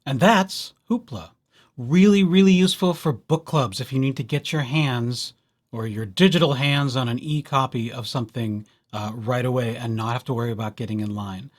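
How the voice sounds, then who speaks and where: A man presenting a casual software tutorial as a library host, with playful, wry asides. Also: mid-range voice, normal speed. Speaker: library presenter hosting a digital services tutorial.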